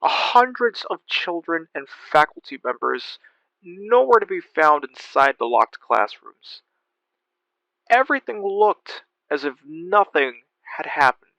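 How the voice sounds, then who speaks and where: A man's voice: on the high side, sing-song, takes it slowly, vocal fry, pushed and bright, dry recording, heavily acted. A man playing a baffled news reporter, giving a scripted report in an earnest, dramatic way. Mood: baffled, grave. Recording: dull lo-fi but dry.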